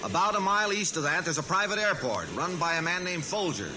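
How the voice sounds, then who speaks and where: An actor playing an earnest, businesslike insurance adjuster in a 1940s radio drama, speaking in a deliberate, insistent way. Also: male voice, a little high, animated, natural voice. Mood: brisk, instructive. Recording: noisy vintage 1940s radio recording.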